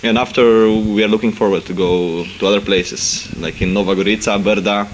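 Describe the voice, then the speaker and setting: A young exchange student speaking haltingly in a street interview. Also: male voice, fairly low pitch, fairly even intonation, a little hiss or hum, rough recording. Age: young adult. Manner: halting, laughs easily.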